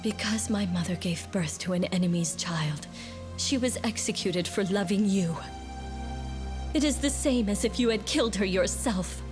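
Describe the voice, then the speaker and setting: A woman's voice: mid-range voice, normal speed, natural voice. Woman playing a proud, bitter young witch. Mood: bitter accusation. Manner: cold, accusing, formal. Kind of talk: dramatic fantasy game voice acting. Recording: music behind. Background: music.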